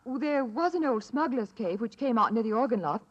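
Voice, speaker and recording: Young lady, theatrical n defiant, big swoopy pitch, muffled 40s radio sound. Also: animated, a little fast. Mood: composed, insistent. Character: poised young lady, secretly a defiant killer. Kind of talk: 1940s radio acting. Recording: muffled old radio recording.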